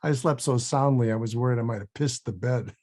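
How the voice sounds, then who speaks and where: A man playing an anxious, sheepish actor with a husky voice in a comedy table read. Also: medium pitch, a little fast, a little mellow, lightly acted. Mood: hesitant, sheepish. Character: anxious, awkward adult male actor, defensive and sheepish.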